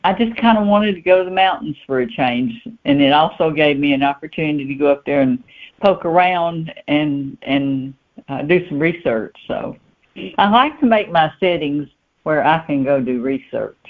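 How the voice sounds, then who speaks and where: A woman's voice: low-pitched, animated, moderate pace. Woman interviewee, informal, repeats words while thinking, narrow telephone audio. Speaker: author guest. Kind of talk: casual interview answers. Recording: narrow tinny phone line.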